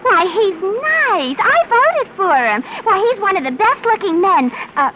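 Casting: cool female accomplice, very high lilting voice, coy manner, noisy 1940s radio recording. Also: sing-song, a little slow, muffled recording, exaggerated voice. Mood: fluttery, admiring. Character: cool, slick young dame, accomplice in crime. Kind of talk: 1940s radio drama acting.

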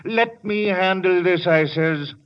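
An actor playing a chatty, folksy off-duty police sergeant and nosy neighbor in an old-time radio drama, rambling and colloquial. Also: male voice, high voice, takes it slowly. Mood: folksy, insistent. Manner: rambling, colloquial, chuckling, hesitant openers. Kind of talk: 1940s-50s old-time radio acting. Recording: dull old radio broadcast recording.

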